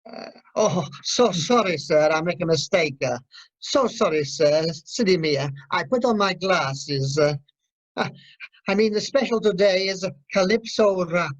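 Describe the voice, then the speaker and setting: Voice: medium pitch, unhurried, natural voice. An amateur actor playing an eager, apologetic Italian waiter with flustered broken English in a radio comedy. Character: eager, apologetic, bumbling Italian cocktail waiter, adult man. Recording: muffled online meeting audio.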